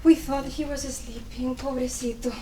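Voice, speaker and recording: Woman as lively, reproachful Cuban confidante for stage drama; distant, reverberant pickup with slight noise. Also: high-pitched, normal speed, close to an everyday voice. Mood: grieving, gentle. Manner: chiding, mixes in Spanish endearments. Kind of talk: dramatic play reading. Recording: echoey room, distant mic, faint noise.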